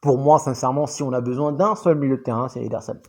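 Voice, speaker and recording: Male football vlogger, mid pitch, conversational and animated, addresses viewers directly. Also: fast talker. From grew up in Saudi Arabia. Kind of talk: casual commentary to viewers.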